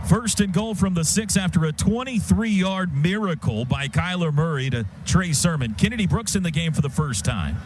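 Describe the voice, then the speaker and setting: A man's voice: on the high side, normal speed, hardly any pauses. An American man calling live football play-by-play on the radio in clipped, vivid phrases. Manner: clipped, vivid phrases that build to excited calls. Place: stadium radio booth. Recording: loud stadium noise behind broadcast.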